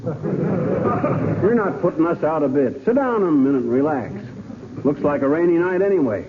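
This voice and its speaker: A man's voice: a little high, lively intonation, moderate pace, lightly acted. An actor with an American accent playing a bumbling, stubborn, folksy married man in 1940s radio comedy style. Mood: folksy, jovial. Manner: folksy, slangy, blustering. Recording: muffled, hissy 1940s radio recording.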